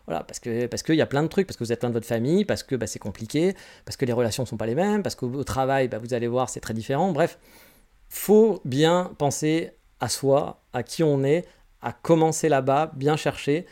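A man's voice: medium pitch, lively intonation, moderate pace, dark tone. A man in his forties from France, hosting a casual solo podcast. He answers listener questions with candid, rambling advice and often restarts his sentences. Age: 40s. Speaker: podcast host.

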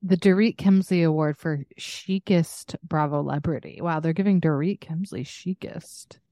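A woman's voice: deep voice, moderate pace, dark tone, dry recording. A woman co-hosting a podcast in a chatty, informal, casual style. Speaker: podcast co-host. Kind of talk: casual chat.

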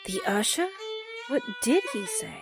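An actress playing an inquisitive, excitable young woman in a 1940s-style radio drama, with violin music behind. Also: fairly high pitch, measured pace. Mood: curious.

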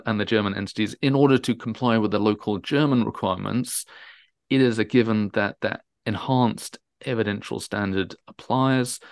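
Low-pitched, creaky-voiced man explaining as expert. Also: no echo, clean studio sound. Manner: emphatic, repeats words and rephrases haltingly. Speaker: transfer pricing specialist, interview guest. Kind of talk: expert interview discussion.

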